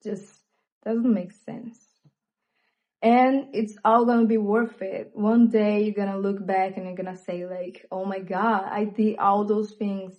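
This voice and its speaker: A young woman with a Brazilian accent, native in Portuguese, talking openly and reflectively in a personal vlog, with frequent fillers and pauses. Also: mid-range voice, fairly even intonation, mellow, rough recording. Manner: reflective, hesitant with many fillers. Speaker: vlogger. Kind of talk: candid personal monologue.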